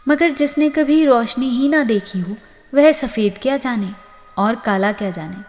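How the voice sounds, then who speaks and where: A woman narrating a scripted moral story as host, with an expressive storytelling delivery. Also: fairly high pitch, measured pace. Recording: long reverb on the voice.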